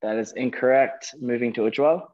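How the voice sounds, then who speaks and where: A man's voice: medium pitch, a little fast, a little mellow. Male quizmaster, slightly muffled audio. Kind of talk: reading quiz questions and giving verdicts.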